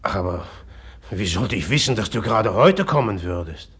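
An actor in a German radio play, playing a brooding, volatile man who speaks haltingly and guardedly, repeating his words. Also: on the low side, animated, a bit bright, husky, heavily acted. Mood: guarded, irritable.

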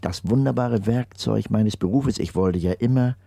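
An older man giving a slow, deliberate testimony in an interview, his phrases stressed and often trailing off into near-whispers. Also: fairly low pitch, creaky, warm tone, no echo, studio quality.

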